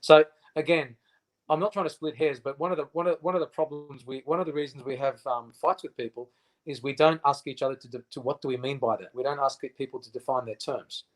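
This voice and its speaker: A man in his fifties talks in a relaxed interview. He keeps stopping and restarting, with plenty of fillers, and stresses his points hard. His voice sits at a middle pitch. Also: low-quality recording. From Australia. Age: 50s. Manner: halting, many fillers and restarts, emphatic. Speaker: interview guest. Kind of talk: casual interview chat.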